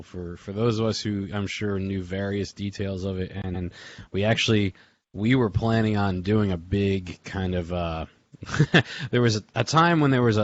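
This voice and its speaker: A man with a fairly low voice talks loosely and informally, as host of a group chat. He rambles through memories with small chuckles. The audio is slightly dull, like a lo-fi online call. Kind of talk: casual reminiscing group chat.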